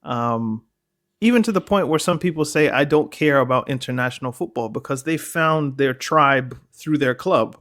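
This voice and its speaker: A man offers a careful counterpoint in a relaxed podcast conversation. His voice sits at an average pitch, and he speaks in a measured, hedging way, pausing on fillers and correcting himself as he goes. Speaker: podcast co-host. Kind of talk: casual football discussion.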